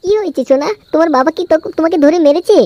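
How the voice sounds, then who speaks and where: A woman's voice: extremely high pitch, very fast, mellow, no echo. A woman voicing a coy, wheedling young girlfriend in a comedy cartoon, with a cutesy, coaxing manner. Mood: concerned, coaxing. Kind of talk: amateur comedy cartoon dubbing.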